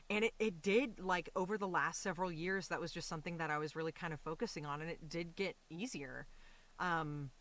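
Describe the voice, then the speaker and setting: A woman speaking as a voice actor and interview guest, chatting casually in an animated, rambling, reflective way. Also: lowish voice.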